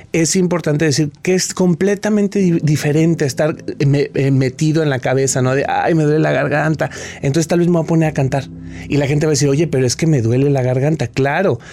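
A man's voice: on the high side, dark tone. A man, a guest expert answering interview questions in an explanatory way, often restarting his sentences.

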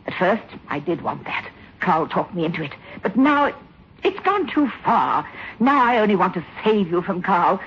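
A woman's voice: medium pitch, very animated, normal speed, a bit bright, breathy edge, heavily acted. An actress playing an anxious, guilt-ridden woman in 1960s radio suspense style, earnest, urgent and imploring. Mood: urgent pleading. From South Africa. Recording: muffled, hissy old radio recording.

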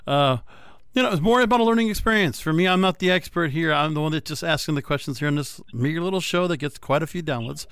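Guy hosting a podcast, clean smooth voice a bit on the high side, wordy rambling questions. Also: fast, fairly bright tone, no echo, studio quality. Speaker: podcast host. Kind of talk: conversational interview hosting.

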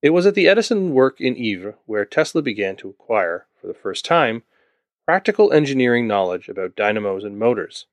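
A man's voice: medium pitch, big pitch swings, brisk, dry recording. A man from Ontario narrating a scripted history podcast, with wry asides to the listener. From Ontario, Canada. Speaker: podcast host.

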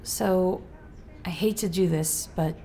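A woman playing a working mother in an audio drama, speaking in a gentle, explanatory way. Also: on the low side, slow pace. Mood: apologetic. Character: apologetic working mother Jess, narrating her day. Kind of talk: scripted audio drama narration and dialogue.

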